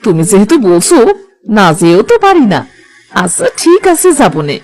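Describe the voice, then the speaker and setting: A woman's voice: medium pitch, wildly swooping pitch, moderate pace, a little mellow. A woman playing a nosy, wheedling village neighbour in a cartoon, with music behind the voice. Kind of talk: Bengali cartoon dialogue.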